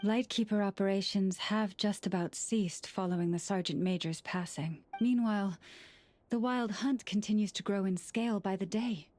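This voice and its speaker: A woman voices a dutiful young fighter from an anime game. She speaks at a medium pitch, calm and grave, with formal restraint and faint music in the background. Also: moderate pace, lightly acted.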